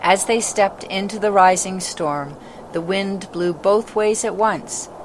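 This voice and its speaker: A woman's voice: mid-range voice, takes it slowly. A woman narrating a children's story from a script. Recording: clearly noisy background ambience.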